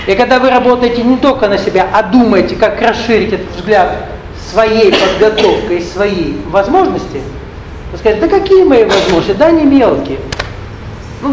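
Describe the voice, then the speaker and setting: A man with a notably high voice teaches a live audience, drifting between his points, joking aside and putting questions to the room. The recording is echoey, with a faint hum of the hall behind him. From Russian-speaking, not Ukrainian. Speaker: spiritual teacher. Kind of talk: lecture to an audience.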